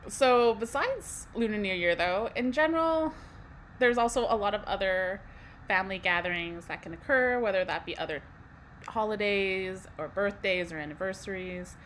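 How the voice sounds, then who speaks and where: A woman's voice: mid-range voice, moderate pace, fairly bright tone, a little hiss or hum. A young woman from Canada co-hosting a casual podcast, conversational, with frequent fillers and light laughs. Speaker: podcast co-host.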